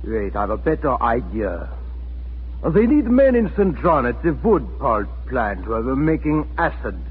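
German military officer, smug, imperious, swooping delivery, tinny vintage radio sound. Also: male voice, medium pitch, sing-song, a little slow. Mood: smug, dismissive. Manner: imperious, sardonic, interrogating. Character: menacing, smug German occupation colonel and judge. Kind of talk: 1940s radio drama acting. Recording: scratchy, phone-like 1940s radio recording.

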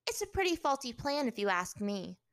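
Scornful young warrior princess for fantasy audiobook, acted by a female narrator: raised pitch, indignant, sarcastic, biting. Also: big pitch swings, a little fast, a bit bright, dry recording, studio quality, heavily acted. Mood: defiant, sarcastic. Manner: indignant, sarcastic, punctuating words sharply. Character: defiant, proud, scornful young captive princess fire-warrior.

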